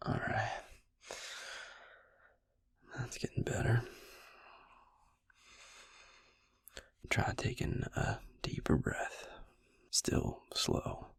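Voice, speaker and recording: A man playing a gentle, wry vampire healer in an intimate ASMR roleplay, speaking slowly and soothingly in a breathy, husky near-whisper. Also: close to an everyday voice. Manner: slow, soothing, folksy endearments.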